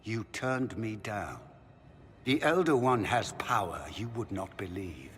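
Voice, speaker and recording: Pompous scheming magister, echoing hall. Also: male voice, on the low side, lively intonation, a little slow, a bit bright, slight background noise. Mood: fervent. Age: middle-aged. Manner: theatrical, pompous, silky menace. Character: proud, scheming middle-aged male magister, desperate zealot father. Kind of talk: dramatic video game voice acting. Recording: long hall reverb, music underneath.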